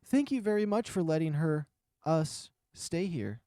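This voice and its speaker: A man's voice: on the high side, expressive, measured pace, warm tone, no echo, clean studio sound, pitched above the performer's own voice. A man voicing a polite, earnest young male animal character in a visual novel. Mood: polite, grateful.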